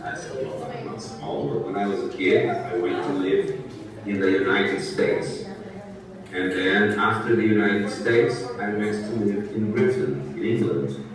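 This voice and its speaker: A Brazilian man in his sixties, a native Portuguese speaker, talking slowly and clearly in English to students, often checking that he is understood. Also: fairly low pitch, a crowd in the background. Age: 60s. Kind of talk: talking to students in a language class. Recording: echoey, distant room sound.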